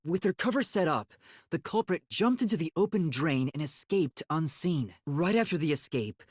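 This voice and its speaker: A man's voice: a little high, lively intonation, moderate pace, slightly rough, no room sound, clean studio sound. An actor playing a timid, earnest young male detective in a video game, with a light, youthful voice and a hesitant, boyish manner. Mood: serious, explaining. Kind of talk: English video game voice acting.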